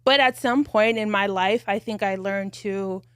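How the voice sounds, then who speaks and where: A woman co-hosting a podcast, telling her family story in a reflective, measured way with casual fillers. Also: mid-range voice, a bit bright, clean tone, no echo, studio quality. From United States. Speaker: podcast co-host.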